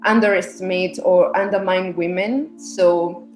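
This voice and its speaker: A woman's voice: on the low side, fairly even intonation, a little slow, soft background music. Young woman in an echoey room. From Rwanda. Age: young adult. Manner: earnest, thoughtful, explains at length. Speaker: podcast guest, research specialist. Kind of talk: casual interview answers.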